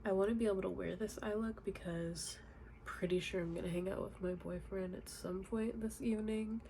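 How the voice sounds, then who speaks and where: A woman's voice: mid-range voice, moderate pace, creaky, slight background noise. Congested young woman chatting about makeup. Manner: chatty, relaxed, many fillers. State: congested, nasal from a cold. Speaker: beauty vlogger. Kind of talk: casual makeup tutorial chat. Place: home, indoors.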